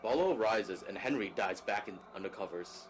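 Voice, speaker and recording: A man's voice: lowish voice, expressive, moderate pace. A man narrating a drama script, reading out scene action as scripted narration. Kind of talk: scripted narration of stage directions. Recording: clear background noise.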